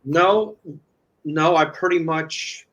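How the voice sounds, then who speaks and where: A man's voice: a little high, slow pace, a bit bright, dull audio. A man explaining an app as a guest in casual chat, rambling and repeating himself. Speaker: guest demonstrating a phone app.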